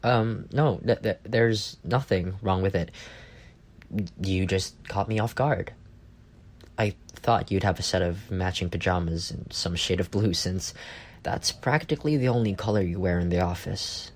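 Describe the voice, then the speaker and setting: Casting: male actor as cocky, teasing office rival for intimate audio roleplay; lowish pitch, very unhurried delivery. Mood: flustered, defensive. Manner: teasing, sardonic, stammers when caught off guard. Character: arrogant, bantering male office rival turned flustered admirer.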